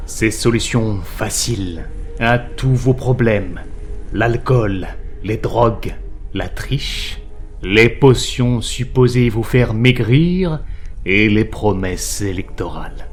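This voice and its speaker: A man playing a grave, preachy narrator who addresses listeners with deliberate rhetorical questions in an audio drama. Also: lowish voice. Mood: ominous, preachy.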